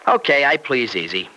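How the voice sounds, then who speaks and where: A man's voice: medium pitch, pushed and bright. An actor playing a blunt, loyal young American man in an old-time radio serial, colloquial and defensive. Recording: noisy old radio broadcast recording.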